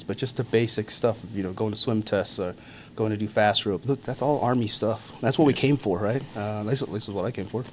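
A man, an army NCO born in Thailand and raised in America, talking, relaxed, chuckling often and filling gaps with "uh" and "um". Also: lowish voice, a little hiss or hum. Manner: easygoing, chuckles often, many fillers and restarts. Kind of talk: casual interview talk.

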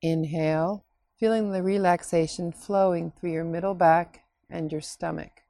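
A woman leading a scripted guided relaxation meditation in a soothing, unhurried, instructing way with pauses. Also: on the low side, steady tone, dark tone, no echo.

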